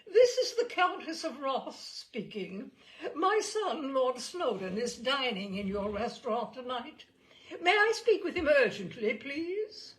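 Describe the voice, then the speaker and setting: Grand posh english countess type, done by a lady narrator, fluty and high, gushy, bossy mum, comic story. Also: sing-song, measured pace, poor audio. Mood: imperious, urgent. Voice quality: fluting, trilling. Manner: grand, gushing. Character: middle-aged upper-class Englishwoman, imperious fake countess mother.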